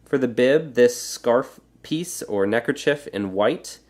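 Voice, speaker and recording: A man with a stuffy, congested voice from a cold, hosting a casual how-to building tutorial and explaining it in a relaxed way with frequent filler words. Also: mid-range voice, slow. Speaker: Lego builder and channel host.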